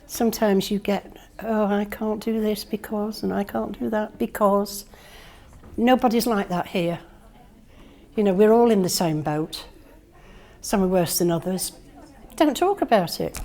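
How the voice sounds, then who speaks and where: A woman's voice: mid-range voice, unhurried, a little mellow. An older woman chatting cheerfully and plainly about her singing group. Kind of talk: casual interview testimony.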